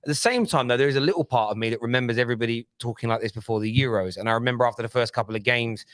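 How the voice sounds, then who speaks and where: A man's voice: medium pitch, rapid-fire, fairly bright tone, no room sound. A man presenting a football panel show in a studio, talking casually and insistently as he holds the floor.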